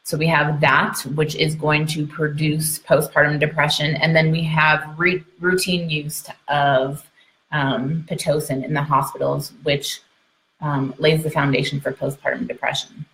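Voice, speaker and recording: A woman with a distinctly low voice teaches informally in an online session. She is emphatic, restating her key points and checking in with listeners as she goes. The sound is clearly roomy, with the echo of an indoor space around her. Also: little pitch movement, unhurried, a bit bright. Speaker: speaker, midwife and trainer. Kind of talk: informal educational lecture to an online audience.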